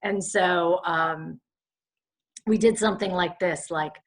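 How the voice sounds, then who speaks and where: Raspy lady rambling on a podcast, lots of likes and you knows, older. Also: on the low side, slow pace. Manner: chatty, rambling, lots of fillers. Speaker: podcast guest. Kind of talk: casual chat.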